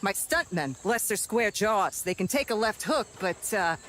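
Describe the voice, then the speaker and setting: A woman playing a flamboyant, self-important film director in a video game, gushing, theatrical and bossy as she talks fast. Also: mid-range voice, lively intonation, fairly bright tone, a little hiss or hum. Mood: pushy, effusive. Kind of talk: video game cutscene acting.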